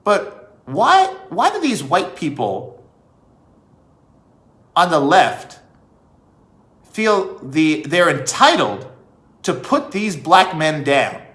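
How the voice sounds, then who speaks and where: Emphatic, slow-paced man in echoey room. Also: fairly high pitch, huge pitch swings, a bit bright. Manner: emphatic, with rhetorical questions and deliberate pauses. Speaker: vlog host. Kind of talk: opinion monologue to viewers.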